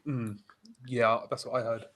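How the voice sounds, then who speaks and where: A man with a middle-pitched, congested voice from a cold chats as a guest on a boxing stream. He talks about fights in a casual, dry, self-mocking way. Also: level tone, measured pace, fairly bright tone. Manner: dry, self-deprecating humor. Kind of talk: casual live-stream chat.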